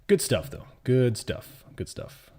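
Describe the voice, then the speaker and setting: A man's voice: medium pitch, vocal fry, dark tone, breathy edge. A man hosting a live trading stream, talking in a loose, rambling way as he answers viewers' questions. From born in New York City, raised in the US South. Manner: rambling, conversational, answers chat questions as they come in. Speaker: livestream host and options trader. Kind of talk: casual live-stream chat.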